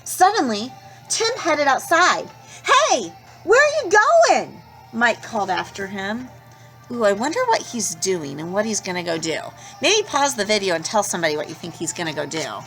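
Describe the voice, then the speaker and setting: A woman teacher reading a children's picture book aloud, expressive and stopping to ask young listeners questions. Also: mid-range voice, normal speed, soft background music, rough recording. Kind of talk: children's storybook read-aloud.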